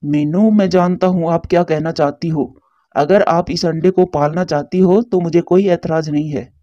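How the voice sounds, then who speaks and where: Dark, muffled, earnest male pigeon played by a man. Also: a little high, steady tone, moderate pace, dry recording, lightly acted. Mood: calm, caring. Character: caring, sensible adult male pigeon, protective friend. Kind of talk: Hindi cartoon story dialogue.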